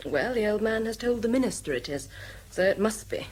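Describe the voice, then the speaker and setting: An actress playing a caring but tart-tongued secretary, intimate and teasing in a radio drama. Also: female voice, mid-range voice, animated, brisk, a little mellow, breathy edge. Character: wry, caring young female secretary.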